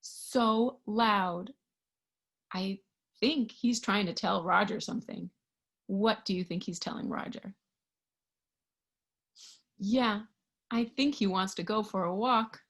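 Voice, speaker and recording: A woman reading a picture book aloud to young children, expressively, pausing to put questions to her listeners. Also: medium pitch. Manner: expressive, animated, with hushed asides and questions to listeners. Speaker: storybook reader.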